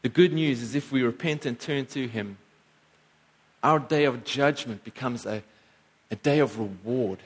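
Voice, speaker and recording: A pastor preaching a sermon in church, earnest, lifting and dropping his voice for emphasis. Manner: earnest, rises and falls in pitch for emphasis.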